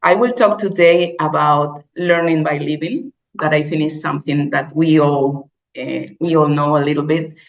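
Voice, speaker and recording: A woman from Spain with a Spanish accent giving a personal keynote talk, speaking haltingly with frequent restarts and simple phrasing. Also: deep voice, steady tone, gravelly. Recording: dull lo-fi recording.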